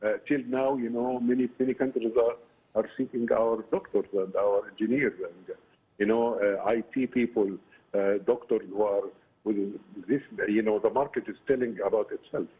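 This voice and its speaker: A man with an Egyptian accent speaks over a narrow, phone-like line. His voice is husky and somewhat low. He explains his points as an interview guest, haltingly, with frequent filler phrases. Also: fairly even intonation. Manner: halting, explanatory, often says "you know". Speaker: political science professor, interview guest. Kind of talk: broadcast interview commentary.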